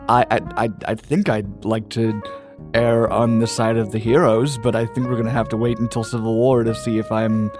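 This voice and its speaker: A man's voice: lowish voice, level tone, normal speed, music in the background. A man in casual chat, answering a question in a hesitant way. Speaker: cast member answering questions.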